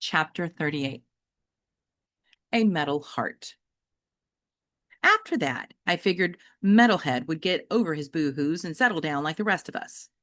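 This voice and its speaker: Female children's book narrator, slightly low register, highly animated, expressive storytelling with varied pace. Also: measured pace, pauses often. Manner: animated, dramatic storyteller delivery with varied pacing. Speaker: teacher reading a novel aloud.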